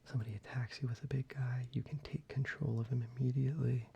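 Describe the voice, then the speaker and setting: A man speaking softly, mostly in a whisper, casually showing cards for an ASMR video, with fillers and small pauses. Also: mid-range voice, fairly even intonation, creaky, husky, highs cut off. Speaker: ASMR creator. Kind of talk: soft-spoken ASMR card showcase.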